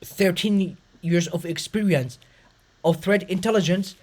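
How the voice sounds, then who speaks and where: High-pitched host, lots of uh and restarts, explaining stuff. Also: male voice, a little mellow. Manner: fast, effortful talk with frequent fillers and restarts. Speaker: podcast host. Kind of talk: conversational interview.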